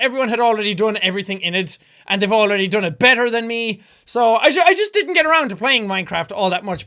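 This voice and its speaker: Irish male gaming commentator, very high and bright, excitable, loud outbursts, casual swearing. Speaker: gaming YouTuber.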